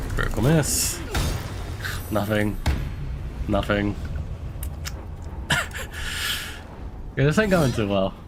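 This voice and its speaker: A man's voice: on the low side, background noise. A young man with a London English accent, stuffy from a cold, chatting casually while streaming a game live. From United Kingdom. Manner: chatty, laughs often, thinks aloud. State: stuffy from illness. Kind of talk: casual gameplay commentary.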